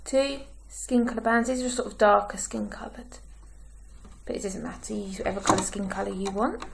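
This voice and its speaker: A woman with a croaky throat, giving slow, patient step-by-step craft instructions as a tutorial host. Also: mid-range voice, fairly even intonation. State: croaky, clearing her throat.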